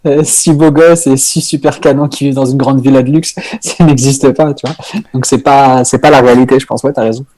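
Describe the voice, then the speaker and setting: A man's voice: mid-range voice, fairly even intonation, a little fast, a little mellow, rough recording. A young man from northern France, a comedian guest chatting casually on a podcast, hesitant with many fillers and restarts.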